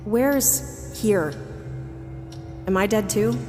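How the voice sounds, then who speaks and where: A woman's voice: mid-range voice, animated, very slow, in a large hall, over music. A woman acting in an audio drama as a determined, troubled young investigator, earnest and questioning. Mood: uneasy, troubled.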